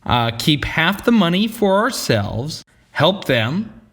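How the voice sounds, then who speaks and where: Male performer as hot-headed comedy sketch loudmouth: bright, forceful, emphatic, slow-paced with big pitch swings. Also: very animated, breathy edge, heavily acted. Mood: cocky, persuasive. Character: excitable, foul-mouthed, hot-headed man in comedy sketches. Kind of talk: comedy audio sketch acting.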